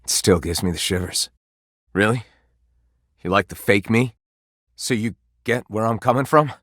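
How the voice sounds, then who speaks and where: Husky, low-voiced, sulky living candelabra. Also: male voice, normal speed, a bit bright, no echo, clean studio sound. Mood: wistful, confessional. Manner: blunt, resigned, casual. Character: living candelabra, self-confessed fraud, sulky, flirtatious everyman. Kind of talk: video game character dialogue.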